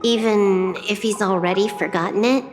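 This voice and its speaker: A woman's voice: mid-range voice, steady tone, moderate pace, lightly acted. An actress voicing a cool, blunt young woman in a video game, terse and matter-of-fact but dryly caring. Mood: skeptical. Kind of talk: video game drama dialogue. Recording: long hall reverb, music behind.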